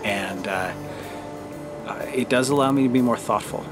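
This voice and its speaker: A middle-aged man giving a thoughtful, halting personal monologue as a vlogger, in a measured way. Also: mid-range voice, over loud music. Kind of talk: personal reflective monologue to camera.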